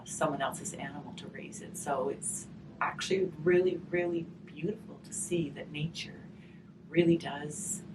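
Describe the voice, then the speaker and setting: A woman speaking softly and reflectively in an unhurried way, as if leading a gentle group session and storytime. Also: deep voice. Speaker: hospice volunteer leading a grief session. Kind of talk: gentle spoken session with storybook read-aloud. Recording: distant microphone, clearly noisy room ambience.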